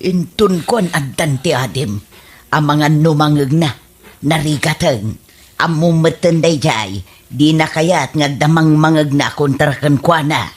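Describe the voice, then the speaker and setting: A woman's voice: low voice, a little slow, a little mellow, husky. A woman with an Ilocano accent playing an exasperated, scolding middle-aged mother in a radio comedy drama.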